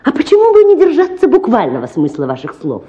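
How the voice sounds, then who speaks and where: A woman's voice: high-pitched, huge pitch swings, fast, mellow, muffled recording. A woman playing a spirited, dreamy young lady idealist in a theatrical radio drama, effusive and self-assured. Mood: eager, assertive.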